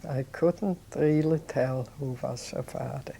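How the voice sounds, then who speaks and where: An older woman, recalling her childhood in an oral history interview, talking in a halting, reflective way with many restarts. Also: extremely low pitch, gravelly, dark tone, slight background noise. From Poland. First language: Yiddish. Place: indoors, seated on a sofa.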